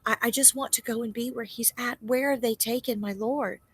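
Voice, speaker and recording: A woman storyteller voicing a grieving woman who pleads haltingly and tearfully in a children's Bible story. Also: a little high, steady tone, husky. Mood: tearful pleading.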